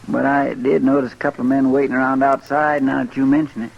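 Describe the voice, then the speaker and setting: A man's voice: medium pitch, flat delivery, fast talker, gravelly, lo-fi sound. An actor playing a simple, earnest, deferential frontier townsman in an old-time radio western, with a folksy, respectful drawl.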